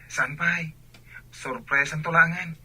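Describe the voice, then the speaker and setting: A man playing a friendly, modest young athlete with a raspy edge in an Ilocano radio drama. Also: on the high side, fairly even intonation, unhurried, pushed and bright, no echo, heavily acted. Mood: grateful, upbeat.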